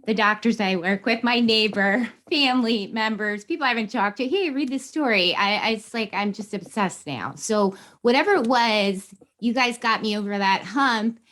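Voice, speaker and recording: A woman's voice: on the high side, normal speed, hardly any pauses, fairly bright tone. A woman chatting casually as a podcast guest, candid, informal and enthusiastic. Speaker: podcast guest, beginning writer. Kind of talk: casual chat.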